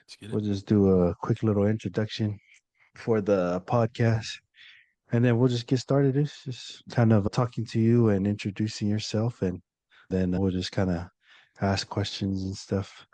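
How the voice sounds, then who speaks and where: Male podcast host, laid-back, low warm voice, dry close sound. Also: normal speed, dry recording. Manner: relaxed, laid-back, lots of fillers. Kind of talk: casual interview chat.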